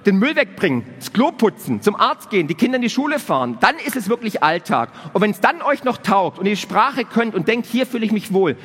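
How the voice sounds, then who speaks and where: A man's voice: high-pitched, sing-song, fairly quick, hardly any pauses, fairly bright tone, slightly rough. A man hosting an event, giving a blunt, sarcastic, comedic talk to an audience. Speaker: event host and speaker. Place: large hall, on stage. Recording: long hall reverb.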